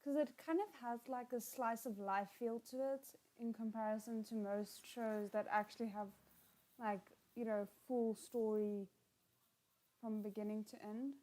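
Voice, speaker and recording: A woman giving casual live reaction commentary, musing aloud in a halting way with trailing asides. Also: on the high side, steady tone, warm tone, poor audio. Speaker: reaction host.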